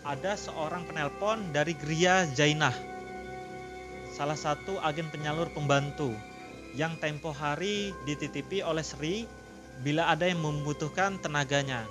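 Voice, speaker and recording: A man narrating a horror story in a slow, savouring read-aloud, with his own commentary to viewers. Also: fairly high pitch. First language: not Javanese; Javanese not fluent. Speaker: storytelling content creator. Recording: music clearly behind the voice. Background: background music.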